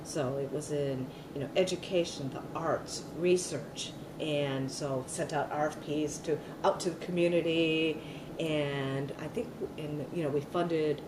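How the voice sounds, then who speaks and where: A woman's voice: deep voice, fairly even intonation, a little slow. A middle-aged woman giving an oral history interview, talking loosely with many fillers and restarts. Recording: echoey room, mic at a distance, noisy.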